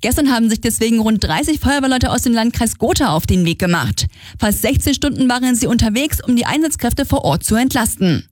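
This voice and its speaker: A female news anchor reads scripted regional bulletins in a fairly high voice. The sound is close and dry, straight from a studio microphone. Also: lively intonation, fairly quick, no room sound. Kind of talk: scripted radio news reading.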